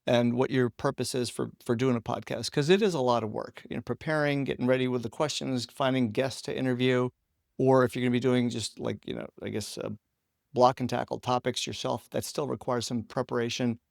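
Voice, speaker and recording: A man with a mid-pitched, gravelly voice full of vocal fry hosts an interview. He talks in a conversational style, and the recording is close and dry. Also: fairly even intonation, fairly quick, darkish tone, no room sound. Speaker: podcast interviewer.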